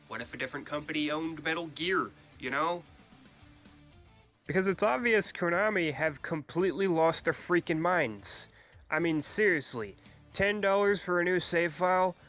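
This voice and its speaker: A man with a stuffy nose from a cold, giving his blunt opinions in a casual gaming vlog, swearing freely and filling pauses with ums and uhs. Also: on the high side, a bit bright, soft background music. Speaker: gaming YouTuber.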